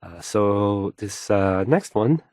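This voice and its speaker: An actor playing a painfully shy young radio DJ in a video game, hesitant and apologetic, stammering and fumbling for words. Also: male voice, lowish voice, lively intonation, slow, darkish tone, no echo, clean studio sound. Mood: flustered, embarrassed.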